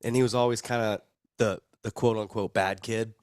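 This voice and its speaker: A young American man speaks in a very low voice while sick with a cold. He tells personal stories casually and with plenty of slang, as a podcast guest. The sound is dry, with little room. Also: steady tone, moderate pace, a little mellow, clear, resonant tone, no echo. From Arizona, United States. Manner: laid-back slangy, chuckles at own story. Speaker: podcast guest, recovery video producer.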